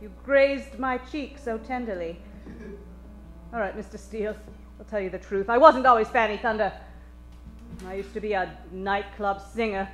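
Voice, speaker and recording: A woman's voice: medium pitch, normal speed, long pauses, soft background music, natural voice. A woman playing a brassy, desperate, strong dame client in a comedic detective radio play, with hard-boiled melodramatic patter. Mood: confessional.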